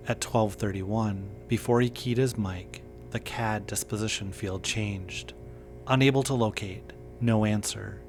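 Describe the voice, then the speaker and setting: A man reading a scripted first-person horror story in a measured, understated way. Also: on the low side. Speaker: narrator.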